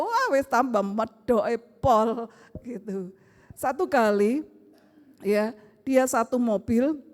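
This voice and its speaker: Middle-aged woman pastor, Surabaya Javanese accent, high voice, long hall reverb. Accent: Surabaya Javanese Indonesian. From Surabaya, Indonesia. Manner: animated storytelling with rhetorical questions, acts out family dialogue. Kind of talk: sermon. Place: church sanctuary.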